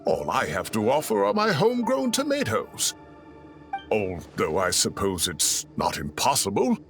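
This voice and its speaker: A man's voice: fairly low pitch, wildly swooping pitch, measured pace, vocal fry, fairly bright tone, faint music behind, heavily acted. An actor playing a kindly, fussy older male professor in video game story dialogue, with a theatrical, pointedly courteous manner. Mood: genial.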